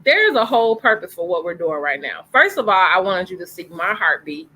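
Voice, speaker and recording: A woman's voice: fairly low pitch, sing-song, brisk, bright, forceful tone, a little hiss or hum, rough recording. An earnest woman hosts a family story time online, talking over a video call from home. Her tone is encouraging and conversational. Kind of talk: casual chat and introduction.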